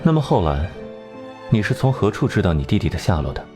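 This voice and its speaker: A low, mellow-voiced investigator in a crime animation. He questions coolly, with expressive turns of pitch and faint music behind. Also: brisk. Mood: calm, probing. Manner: cool, questioning. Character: composed, probing male police investigator. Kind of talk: animated crime drama dubbing.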